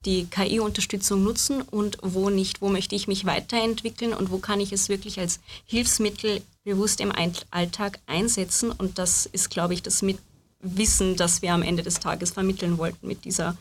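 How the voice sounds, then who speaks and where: A woman presenting research findings in a measured, explanatory discussion. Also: mid-range voice, fairly even intonation. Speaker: student researcher.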